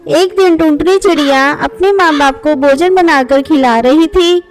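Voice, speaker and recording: A woman's voice: sits high, measured pace, soft background music. A woman narrating a scripted children's moral story as its storyteller.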